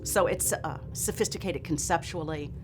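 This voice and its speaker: A middle-aged woman, a scholar and teacher, lecturing on literature in a deliberate, articulate and emphatic way. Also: low voice. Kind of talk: literary lecture and expressive reading aloud. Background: music.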